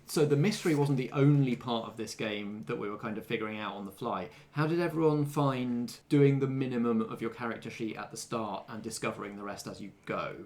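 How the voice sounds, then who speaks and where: A man's voice: mid-range voice, a little fast, few pauses, darkish tone. A man hosting a podcast as game master, chatting casually about a game. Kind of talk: casual backstage discussion.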